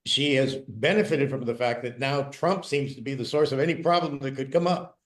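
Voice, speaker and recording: A man on an online news panel, speaking in a measured, analytical way. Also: mid-range voice. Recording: echoey room.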